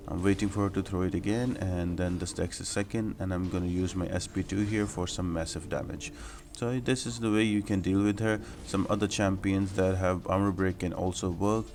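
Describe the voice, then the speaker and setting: A man's voice: low-pitched, a little mellow. A man giving instructional, explanatory commentary as the host of a video game guide.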